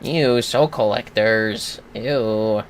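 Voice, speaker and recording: A man's voice: on the low side, drawn-out words, a little hiss or hum. A man livestreaming gameplay, casually explaining tactics step by step with informal, sweary commentary over music. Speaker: livestreamer, gaming host. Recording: music playing behind the voice.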